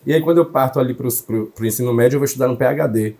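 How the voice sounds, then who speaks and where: Young man, mellow storytelling voice. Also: mid-range voice, racing, gravelly. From Salvador, Bahia, Brazil. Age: young adult. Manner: reflective, fluent storytelling. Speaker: journalist guest. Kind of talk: interview conversation.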